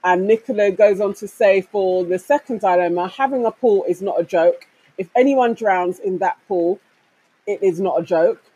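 A woman's voice: mid-range voice, moderate pace, dark tone, breathy edge, rough recording. A woman co-hosting a casual chat show, reading out listener comments and laughing along. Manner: reads comments aloud, laughs often.

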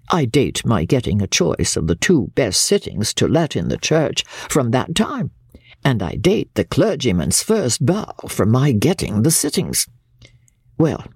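Casting: woman reader as proud middle-aged Victorian mother, spiteful and emphatic, gravelly breathy voice, classic-novel audiobook. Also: extremely low pitch, darkish tone, no room sound, clean studio sound, heavily acted. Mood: smug, self-satisfied. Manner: haughty, emphatic, deliberate storytelling. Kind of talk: dramatic audiobook reading of classic literature.

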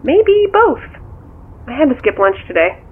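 An actress playing a curious, skeptical confidante in a naturalistic audio drama, questioning in a casual, dry way through a telephone filter. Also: female voice, high-pitched, sing-song, a little slow, breathy edge, exaggerated voice. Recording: thin, tinny phone line.

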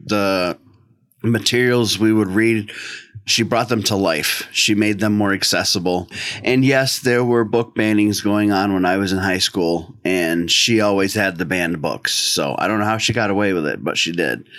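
A middle-aged man with an American accent and a lowish voice talks casually as an interview guest. The sound is dry and close, with no room echo. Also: moderate pace, a bit bright. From northeastern United States.

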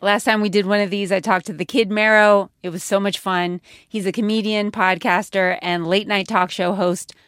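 A woman hosting a podcast, narrating in a clear, measured, conversational way. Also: medium pitch, steady tone, a bit bright, dry recording, faint music behind. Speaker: podcast host. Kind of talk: scripted narration and interviewing.

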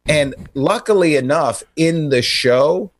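A man co-hosting a radio show, telling comic stories in a casual, halting, self-interrupting way. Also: fairly high pitch, lively intonation.

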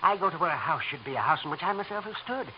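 An actor playing a frantic, excitable male professor in a 1940s radio drama, breathless and stammering. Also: a little high, rapid-fire, slight background noise, lightly acted. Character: frantic fussy male professor, middle-aged. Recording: muffled old radio recording.

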